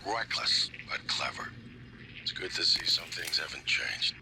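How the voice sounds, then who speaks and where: A man voicing a calm, detached robot assistant in a video game, speaking in a measured, formal, deadpan way. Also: sits low, creaky, noisy background. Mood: cool, detached. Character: calm male robot assistant, detached, coolly superior, precise. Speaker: personal operator robot.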